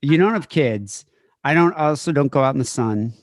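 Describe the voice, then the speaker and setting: A man's voice: mid-range voice, lively intonation, brisk, dry recording, studio quality. A man in his forties, a podcast co-host, joking loosely and swearing as he sets up a livestream. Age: 40s. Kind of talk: casual banter before a livestream.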